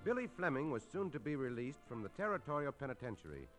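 An actor playing a gallant, courteous Mexican cowboy hero with a Mexican accent in an old-time Western radio drama, speaking in measured, formal phrases. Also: male voice, medium pitch, very animated, over loud music, close to an everyday voice. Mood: dramatic narration.

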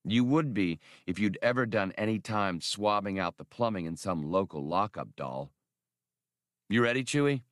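A man voicing a brash, decisive smuggler and space pilot in an audiobook, with a brisk, commanding, wisecracking manner. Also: on the low side, animated, no room sound, clean studio sound, close to an everyday voice. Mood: wry, then urgent. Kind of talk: audiobook character dialogue.

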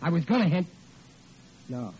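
A man's voice: a little high, big pitch swings, normal speed, dark tone, breathy edge, heavily acted. An actor playing a blue-collar family man in 1940s radio comedy, colloquial and defiant, full of sheepish excuses. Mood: defensive, blustering. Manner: colloquial, blustering, makes feeble excuses. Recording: muffled, noisy old radio recording.

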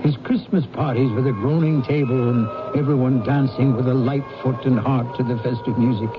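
An actor playing a haunted, defensive old miser in a 1970s radio drama, speaking theatrically and haltingly with remorse. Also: male voice, mid-range voice, fairly even intonation, noisy background, close to an everyday voice. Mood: wistful, wondering. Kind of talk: 1970s radio drama acting with first-person narration. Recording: dull 1970s radio broadcast, music underneath.

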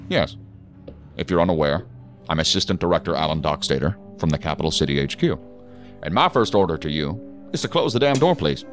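An actor playing a stern, commanding federal assistant director with a formal, curt, authoritative manner in an audio drama. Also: male voice, rumbling low, lively intonation, brisk, soft background music. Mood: stern, impatient.

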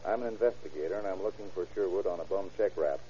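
Man acting a very dark-voiced hard-boiled investigator. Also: deep voice, fast talker, a little hiss or hum, close to an everyday voice. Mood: pressing, firm. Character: tough, slangy, persistent male private investigator. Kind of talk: 1940s radio crime drama acting. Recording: dull old radio drama recording.

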